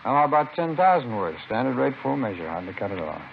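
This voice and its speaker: A man's voice: mid-range voice, very animated, fast, gravelly. An actor playing a wary, polite male narcotics dealer in a vintage radio crime drama. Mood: wary, placating. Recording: noisy, dull vintage radio recording.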